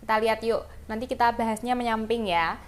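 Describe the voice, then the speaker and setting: Female English teacher, Indonesian accent, fairly high voice, informal and conversational while explaining phrases. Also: fairly even intonation, a little fast. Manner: informal, conversational, addresses students directly. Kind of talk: lesson explanation, reading example phrases and translating them.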